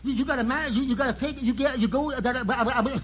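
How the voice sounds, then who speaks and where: An actor playing a nervous, muddle-headed barber who stammers and repeats words in an old-time radio sitcom. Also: male voice, extremely high pitch, fast talker. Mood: flustered. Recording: dull, noisy vintage radio recording.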